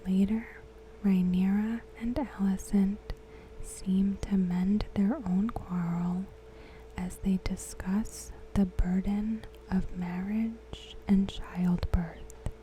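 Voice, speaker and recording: A woman reads aloud softly in an ASMR style, in a hushed, breathy whisper with short phrases and long pauses. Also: mid-range voice, little pitch movement, slight background noise, low-quality recording. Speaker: ASMR host.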